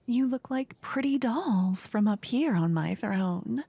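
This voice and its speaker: A woman voicing a flippant, gleeful queen in a soft-spoken fantasy ASMR roleplay, playful and condescending in a theatrical way. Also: a little high, animated, slow pace, creaky, breathy edge, pitched above the performer's own voice, full character voice. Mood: gracious, amused. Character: gleeful, flippant queen presiding over her fantasy court.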